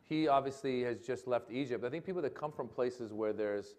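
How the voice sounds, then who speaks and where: A man's voice: medium pitch, a little fast, darkish tone. A man with an American accent speaking as a comedian guest on a panel, casual and full of fillers. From Iran, raised in America. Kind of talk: on-stage public discussion.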